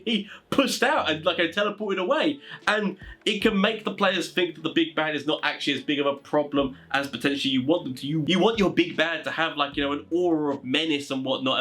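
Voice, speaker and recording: High-voiced man, chatty and rambling. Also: a bit bright. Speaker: host giving tabletop game advice. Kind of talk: informal advice talk.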